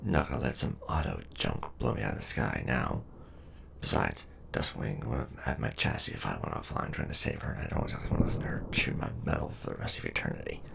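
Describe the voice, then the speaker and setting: A man performing a war-weary robot soldier for an ASMR roleplay drama, gruff and loyal. The voice is rock-bottom low and heavily creaky, with big swings in intonation, over loud background ambience. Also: very animated, quick pace, background noise, full character voice. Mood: defiant, gruff. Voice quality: very deep, gravelly.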